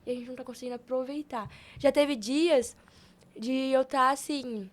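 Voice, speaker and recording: A woman's voice: sits high, moderate pace. A young woman in casual conversation, speaking earnestly and colloquially, repeating phrases for emphasis in a motivational way. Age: young adult. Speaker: podcast guest.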